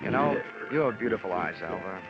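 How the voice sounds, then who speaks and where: A man's voice: low voice, animated, a little fast, loud music behind the voice. Sardonic male insurance investigator, glib, flirtatious, 1940s radio acting, dull vintage sound, musical backing. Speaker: lead actor and narrator.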